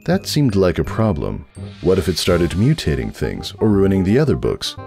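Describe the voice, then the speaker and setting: A man narrates a comic story with a wry, theatrical delivery. His pitch is on the low side and moves very widely, with music underneath. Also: wildly swooping pitch, normal speed, vocal fry, dark tone. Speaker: narrator, fanfiction reader. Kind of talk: dramatic scripted comic narration.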